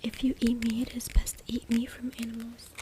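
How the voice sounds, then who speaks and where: Whispering woman, even-toned ASMR reading. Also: on the high side. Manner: soft, hushed, deliberate whispering with repeated words. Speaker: ASMR creator reading from a book. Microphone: close USB microphone. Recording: noisy close USB mic with clicking. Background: noise, tapping and clicking sounds.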